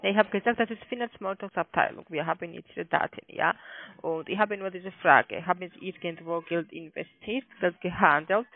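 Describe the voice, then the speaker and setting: A high-pitched man with a foreign accent speaks over a narrow, tinny phone line. He questions insistently in non-native phrasing, like a cold caller. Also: normal speed, creaky. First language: not German. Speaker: call-centre caller.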